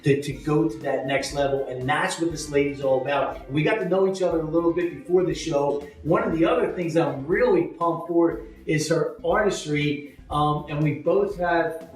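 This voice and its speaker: A man's voice: on the high side, moderate pace. A man hosting a live-stream interview, talking in an upbeat, motivational way. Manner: upbeat, hyped motivational talk. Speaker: host. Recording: echoey room, mic far off, faint music.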